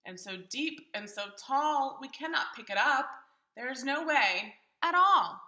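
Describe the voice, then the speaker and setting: A woman's voice: high-pitched, animated, measured pace, sharp tone, roomy sound, full character voice. A woman voicing an anxious, scolding pet fish from a children's storybook, urgent and exclamatory. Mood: worried.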